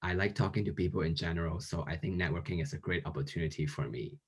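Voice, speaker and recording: Low-voiced man from Hong Kong. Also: fairly even intonation, fast, breathy edge. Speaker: guest. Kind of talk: casual interview answers.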